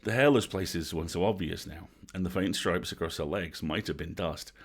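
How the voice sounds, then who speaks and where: A man narrating a bedtime story from a script as an expressive storyteller. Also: sits low, moderate pace, husky. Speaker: storyteller, host.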